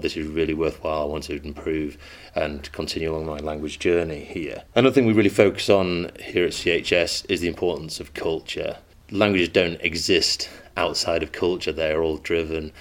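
A man's voice: sits low, a bit bright. A man who teaches modern languages at a school, talking earnestly and measuredly in an interview, with frequent ums. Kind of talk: interview monologue. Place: school in Manchester.

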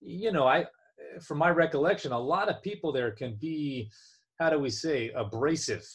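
A man's voice: on the high side, normal speed, slightly rough. A man from New Jersey chatting casually as co-host, joking and self-deprecating, often restarting his sentences. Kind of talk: casual chat.

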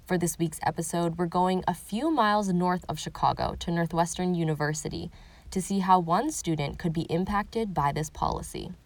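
A woman reading a scripted news report as host, in a measured, even delivery. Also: fairly low pitch, vocal fry.

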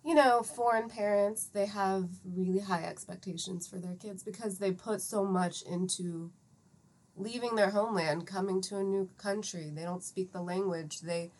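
Female podcast guest in her thirties, middle register, thoughtful explainer in relaxed conversation. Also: medium pitch, normal speed. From United States (Atlanta, Georgia). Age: 30s. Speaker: podcast guest, psychiatric pharmacist. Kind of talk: conversational interview.

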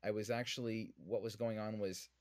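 A man's voice: fairly low pitch, steady tone, normal speed, darkish tone, dry recording, studio quality. A man from Vancouver with a Canadian accent, answering interview questions conversationally as a podcast guest. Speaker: podcast guest, whiskey influencer.

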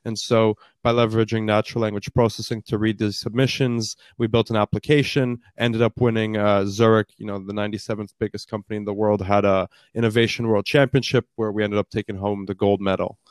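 Deep-voiced young man, dry close sound. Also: level tone, a little fast, few pauses, dry recording. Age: 20s. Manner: fluent storytelling with frequent uh fillers. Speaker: startup founder guest. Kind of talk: interview answers, conversational. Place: based in Canada.

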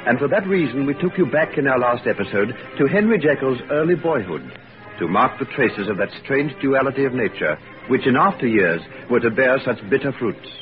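A man's voice: medium pitch, expressive, a little fast, soft background music. A male announcer giving formal, stately scripted narration. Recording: dull old radio broadcast.

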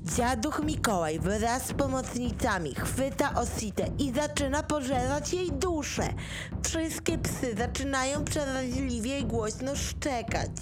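A woman's voice: high-pitched, measured pace, music in the background. A woman reading scripted stage narration for an audio play.

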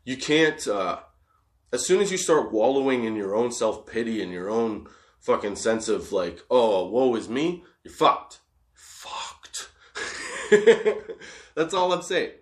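A man's voice: mid-range voice, animated, takes it slowly. Profane, rambling stoner man in echoey room. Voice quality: profane, laughs often. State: high on cannabis. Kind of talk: rambling casual monologue.

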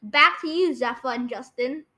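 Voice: sits high, moderate pace, sharp tone. A young podcast host, sounding like a child or young teen, running a casual quiz chat and bossily correcting a co-host.

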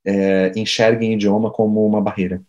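A man's voice: lowish voice, flat delivery, a little fast, a little mellow, muffled recording. A man interviewing a guest, asking long, winding questions with many hesitations.

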